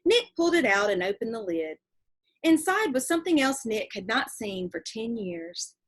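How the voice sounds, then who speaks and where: Expressive woman reading aloud, slightly husky. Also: a little high, normal speed. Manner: expressive, explanatory, checking in with listeners. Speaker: teacher reading a book aloud to pupils. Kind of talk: read-aloud storytelling with asides to children.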